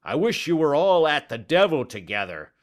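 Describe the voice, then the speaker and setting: A man reading aloud and voicing an angry, quarrelsome market salesman who shouts fiercely. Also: medium pitch, sing-song, unhurried, pitched above the performer's own voice.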